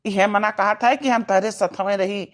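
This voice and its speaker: A woman's voice: medium pitch, steady tone, moderate pace, close to an everyday voice. A woman with an Awadhi accent voicing a devoted, sentimental young village sweetheart in a cartoon comedy. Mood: adoring. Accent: Awadhi, eastern Uttar Pradesh.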